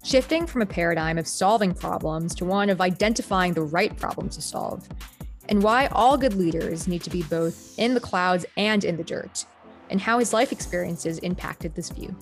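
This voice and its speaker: A woman co-hosting a podcast, reading a scripted introduction smoothly. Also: lowish voice, normal speed, faint music behind. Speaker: podcast co-host.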